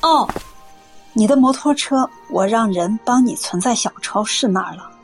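Kindly, earnest middle-aged auntie. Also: female voice, a little high, expressive, moderate pace, soft background music. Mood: kindly, reassuring. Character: kindly, earnest older auntie, patient and moralizing. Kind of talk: radio drama acting.

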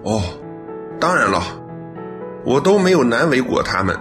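A man plays an upright young policeman in a radio drama. He is sincere and earnest, with a mid-pitched, lively swinging intonation over faint music. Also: moderate pace, close to an everyday voice.

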